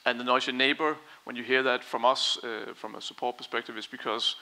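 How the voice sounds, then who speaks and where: Bright-voiced man with a creaky edge. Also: mid-range voice, fairly even intonation, a little fast, poor audio. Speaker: company presenter answering audience questions. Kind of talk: conference Q&A, explanatory. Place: conference stage in a large brick and concrete hall. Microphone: headset.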